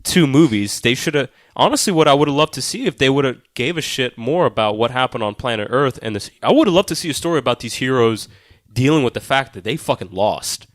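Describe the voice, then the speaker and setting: A man's voice: medium pitch, expressive, fairly quick, no room sound, studio quality. A man co-hosting a film podcast, casually arguing his points with swearing and emphatic repetition.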